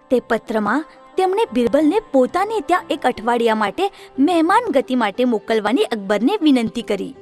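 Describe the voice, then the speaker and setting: High, expressive woman narrating. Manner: expressive storytelling. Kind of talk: scripted children's story narration.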